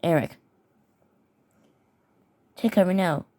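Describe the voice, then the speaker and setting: Woman reading storm warnings, lowish voice, trips over words and repeats herself a lot. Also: mellow. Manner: halting, stumbles and repeats words. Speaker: mock emergency alert narrator. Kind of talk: reading mock weather warnings with commentary.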